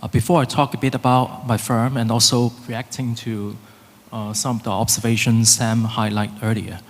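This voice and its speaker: Man, panelist, hesitant with fillers, mid pitch, long hall reverb. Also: little pitch movement. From Hong Kong. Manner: halting, many filler pauses. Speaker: conference panelist, fund managing director. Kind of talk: panel self-introduction. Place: conference hall.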